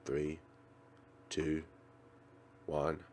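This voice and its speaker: A man narrating a visual novel aloud in an expressive, theatrical way, as a let's play host. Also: rumbling low, very slow. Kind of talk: dramatic read-aloud narration.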